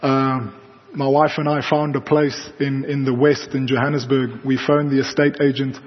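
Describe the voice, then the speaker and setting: A man's voice: medium pitch, flat delivery, moderate pace. A young South African man, native Afrikaans speaker, preaching a sermon in a large church and telling personal stories in a conversational way with fillers. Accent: South African English. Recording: dull sound with long hall reverb.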